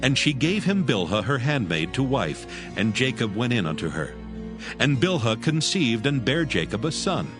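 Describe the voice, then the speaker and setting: Man narrating scripture, low, expressive, over clear background music. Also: moderate pace.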